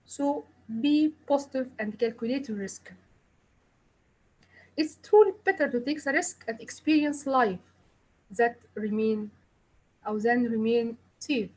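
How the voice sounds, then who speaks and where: A woman with an Arabic accent, a pediatrician speaking as the invited presenter of an online talk, halting and apologetic in her English. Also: a little high, a little mellow, low-quality recording. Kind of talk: closing a webinar presentation.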